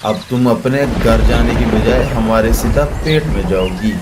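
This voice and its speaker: A man plays a predatory eagle boss from a children's cartoon. He sounds menacing and gloating at a medium male pitch, over clearly noisy bird ambience. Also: a little slow, close to an everyday voice. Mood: taunting.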